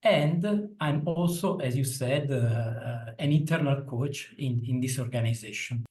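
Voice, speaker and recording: Italian-accented middle-aged man, hesitant, many restarts; ordinary mid pitch. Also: unhurried, a little mellow. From Italy. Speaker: interview guest, internal coach. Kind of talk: conversational interview.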